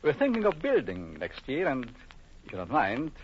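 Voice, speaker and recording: A man acting a suave, jealous doctor in old radio horror, courteous and insinuating, with deliberate, menacing pauses. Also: medium pitch, huge pitch swings. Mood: smoothly probing. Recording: muffled old radio broadcast, faint hiss.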